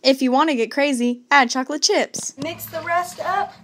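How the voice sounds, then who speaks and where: A young adult woman giving a casual, comedic cooking tutorial in a home kitchen, with playful asides and drawn-out words. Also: high-pitched, a bit bright. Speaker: host of a home cooking video. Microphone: camera on counter at about arm's length. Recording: background kitchen noise, clicks.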